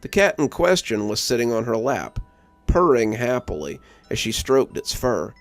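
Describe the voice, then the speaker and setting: A man's voice: medium pitch, very animated, normal speed, creaky, dry recording, faint music behind. A man reading a scripted story as a dramatic narrator.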